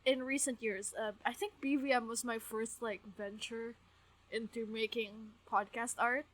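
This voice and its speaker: A woman in her twenties chatting casually as a podcast co-host, gushing, excitable and quick to swear. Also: a little high, poor audio. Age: 20s. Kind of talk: casual fan podcast chat.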